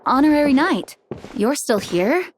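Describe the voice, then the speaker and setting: A woman playing a composed, dutiful commander of knights in an anime game dub, speaking formally and in measured phrases. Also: high voice, very animated, slight background noise. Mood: pensive.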